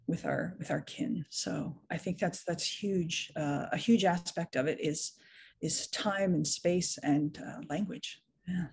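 A woman's voice: lowish voice, creaky, husky. A middle-aged woman on a video call from home, telling a story in a reflective way with frequent restarts. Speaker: guest speaker. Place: home room, indoors. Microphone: video call webcam.

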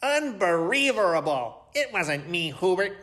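Indignant woodland animal for a picture book, male performer: bright, high-pitched, measured, protesting delivery. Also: big pitch swings, heavily acted. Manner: protesting, theatrical. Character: indignant accused woodland animal pleading innocence. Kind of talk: children's storybook character voice.

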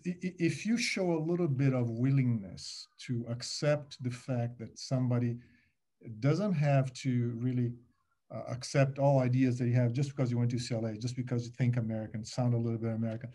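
Middle-aged man, warm, mellow tone, loose panel-talk delivery full of "you know", webcam audio. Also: medium pitch. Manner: animated, gesturing, restarts and many fillers. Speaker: alumni panelist. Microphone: laptop webcam on a video call.

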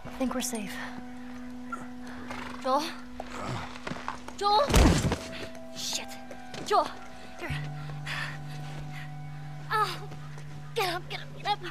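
A woman's voice: extremely high pitch, expressive, extremely slow, pauses often, music in the background, full character voice. An American woman voicing a tough, wary teenage girl survivor in a video game, blunt and urgent. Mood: urgent, pleading. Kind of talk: video game cutscene acting.

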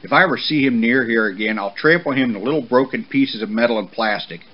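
A man voicing a stern, angry father horse in a children's storybook, forceful and commanding. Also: mid-range voice, quick pace, muffled recording, lightly acted. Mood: stern, threatening.

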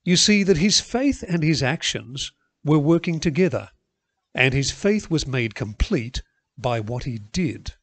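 Male scripture reader, animated intonation, dry close recording. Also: on the high side, no room sound. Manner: expressive, measured reading. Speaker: Bible reader. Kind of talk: scripture reading.

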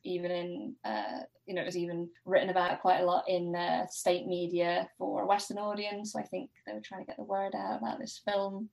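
A woman's voice: lowish voice, flat delivery, a little fast, creaky, hardly any pauses, darkish tone, rough recording. A woman speaking as an academic guest in an informal podcast discussion, hesitant and thinking aloud as she goes.

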